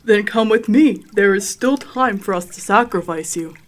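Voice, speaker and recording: A woman's voice: mid-range voice, normal speed, natural voice. A woman playing an earnest, welcoming alien guide who explains her people's ways in a comedic radio drama. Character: welcoming, easily tempted female alien guide of a water-cult people.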